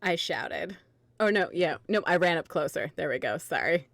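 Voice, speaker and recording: A woman narrating an amateur dramatic reading of fan fiction, informal and halting as she works through the text. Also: fairly low pitch, expressive, gravelly, fairly bright tone, dry recording. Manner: informal, halting, stumbling over misspelled text.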